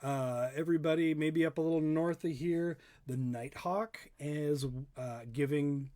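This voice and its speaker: A man's voice: a little high, measured pace, dark tone. A man hosting a casual online storytime for kids, chatting in a rambling, folksy, playful way. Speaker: storytime host, local dad.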